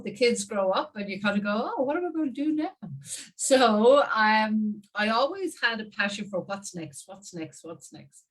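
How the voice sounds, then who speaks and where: A woman in her fifties with an Irish accent, telling her story as an interview guest in a chatty, animated way with frequent laughs. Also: medium pitch, low-quality recording. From Ireland. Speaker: guest marketing strategist. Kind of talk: casual interview talk.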